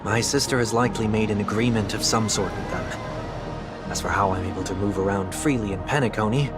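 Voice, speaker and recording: An actor playing a courteous, soft-spoken man in a video game, speaking in formal, measured phrases. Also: on the low side, natural voice. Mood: earnest, courteous. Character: polite, soft-spoken man bidding his home farewell. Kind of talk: scripted video game dialogue. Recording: loud background music over dialogue.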